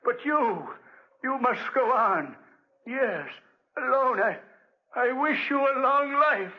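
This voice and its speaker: An actor playing a frail, ruined old man with a high husky voice, melodramatic and anguished in 1940s radio style. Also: expressive, slow pace, exaggerated voice. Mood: defiant, dying. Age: older. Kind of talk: 1940s radio drama acting. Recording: dull 1940s radio recording.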